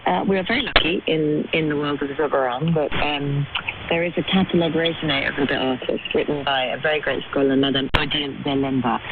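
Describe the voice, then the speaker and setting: A woman speaking as an art historian and curator in an interview, explaining things articulately with emphatic descriptive words, heard over shortwave radio. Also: low-pitched. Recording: tinny shortwave radio, loud static noise. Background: loud shortwave static and clicks.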